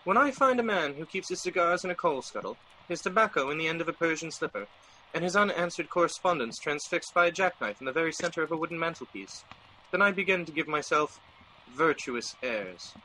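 Man acting fussy exasperated gentleman, radio drama, bright forceful voice, highish pitch, declamatory. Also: animated, fairly quick, a little hiss or hum, rough recording. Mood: fussy, reminiscing.

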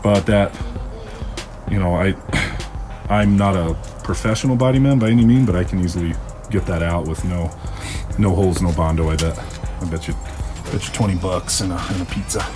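A man's voice: deep voice, a little fast. An American man giving a casual, colloquial, opinionated walkaround as a car restorer. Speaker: car restorer presenting a find. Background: music.

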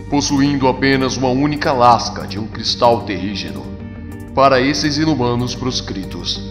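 A man narrating a comic story with dramatic, solemn, measured scripted delivery. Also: mid-range voice. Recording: long reverb over music.

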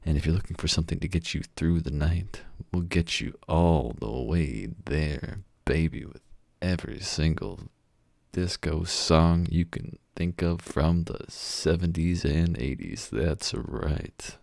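A man voicing a smooth, seductive late-night jazz and disco DJ with a suave, drawn-out delivery. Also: very deep voice, darkish tone, no room sound, heavily acted.

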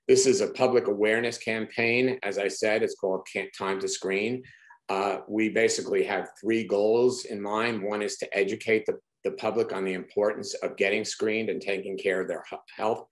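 A man's voice: fairly low pitch, poor audio. A man giving an earnest, conversational presentation over a video call, with frequent fillers and restarts. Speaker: executive director presenting research. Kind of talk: online presentation talk. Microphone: video call webcam.

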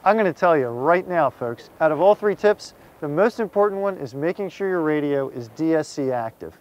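American guy in his 50s doing a how-to, kinda high voice, folksy and direct, slightly muffled audio. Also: expressive, moderate pace. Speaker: presenter, magazine contributing editor. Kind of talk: scripted instructional presenting to camera. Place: outdoors on a small open boat on the water.

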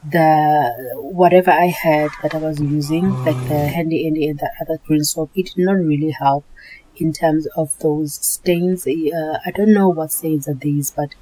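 A South African woman narrating her cleaning vlog in a relaxed, chatty voice-over, often repeating herself. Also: low voice, rough recording. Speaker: home cleaning vlogger.

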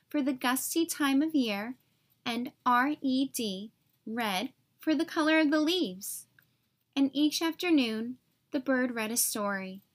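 A woman reading a children's story aloud in an expressive, carefully phrased way for young listeners. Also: sits high.

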